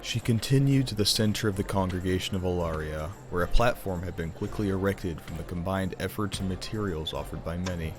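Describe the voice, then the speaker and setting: Deep-voiced man narrating a fantasy tale. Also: over music. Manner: theatrical, deliberate. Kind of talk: dramatic scripted fantasy storytelling.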